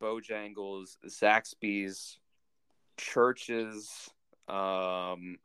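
A man hosting a casual sports podcast, talking in a halting way as he thinks out loud. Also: fairly low pitch, little pitch movement, clear, resonant tone, no echo.

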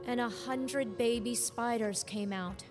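An earnest young noir heroine, played by a woman, speaks with wounded feeling in a fairly high voice. The acting is 1940s radio style, with music clearly underneath. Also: measured pace, lightly acted. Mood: earnest, hurt.

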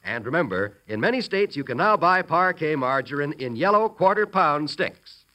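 A man's voice: fairly high pitch, very animated, normal speed, heavy background noise. A man announcing from a script in polished, dramatic 1940s radio style.

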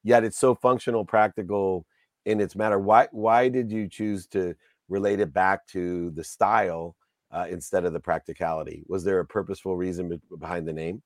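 Low-voiced guy hosting a chatty interview, rambling and hyped, dry sound. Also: steady tone, moderate pace, dry recording. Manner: enthusiastic, rambling, effusive praise. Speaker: podcast host. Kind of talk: casual interview chat.

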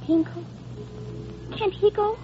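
Old radio play little girl, super high and wide-eyed, music faintly behind. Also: slow. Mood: frail wonder. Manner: breathless, wide-eyed childlike delivery. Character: frail, dreamy little girl, trusting and excitable. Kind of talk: 1940s radio drama acting. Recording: muffled vintage radio recording, faint music.

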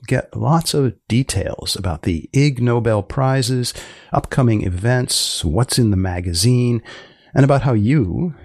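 Guy hosting a podcast, creaky voice, really dry close sound, talks deliberately. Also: mid-range voice, a little mellow, no echo. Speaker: podcast host and interviewer. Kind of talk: interview and podcast narration.

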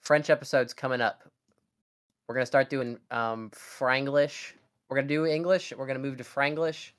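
Smooth-voiced young man, rambling sarcastically. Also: mid-range voice, fairly even intonation, moderate pace, a bit bright. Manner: rambling, sarcastic, stumbles and restarts. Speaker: podcast co-host. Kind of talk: casual chat.

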